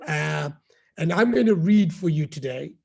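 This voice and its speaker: A middle-aged man with a gruff, scratchy voice, reading a children's story aloud and chatting with the kids. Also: fairly high pitch, animated, measured pace, darkish tone. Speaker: university head reading to children.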